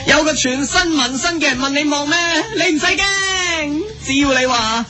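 A man's voice: extremely high pitch, normal speed. An actor with a Hong Kong accent playing a brash, boastful young male band leader who hosts a comic radio show in an exclamatory, emphatic way. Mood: cocky, advising. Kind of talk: comic radio sketch presenting.

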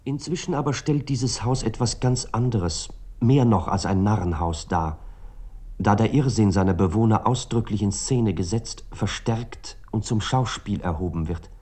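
An actor playing a reflective writer recounting a night of war in a literary radio drama, articulate and brooding. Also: male voice, fairly low pitch, moderate pace, warm tone, lightly acted. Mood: grave, reflective.

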